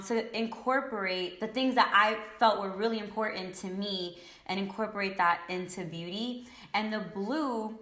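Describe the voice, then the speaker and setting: A young woman guest in a casual interview, relaxed, with many likes and ums. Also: medium pitch. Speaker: guest, makeup influencer. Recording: echoey room.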